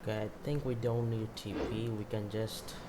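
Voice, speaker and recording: A man's voice: lowish voice, little pitch movement, normal speed, noisy background. A man giving casual, rambling gameplay commentary with jokey asides. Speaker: gamer, channel host. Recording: loud game music over voice.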